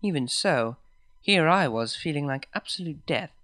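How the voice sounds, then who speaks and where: A man reads a romantic fan story aloud in the first person, his pitch rising and falling in a lively, lilting way. The recording is dry and close, with no room sound around the voice. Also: normal speed, no room sound. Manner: expressive, lilting storyteller delivery. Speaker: fanfiction reader.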